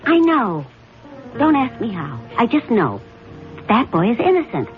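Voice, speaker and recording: An actress playing a devoted, anxious wife in a 1970s radio drama, speaking with earnest, pleading emphasis. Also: female voice, on the high side, big pitch swings, unhurried. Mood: anguished conviction. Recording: dull vintage radio, music behind.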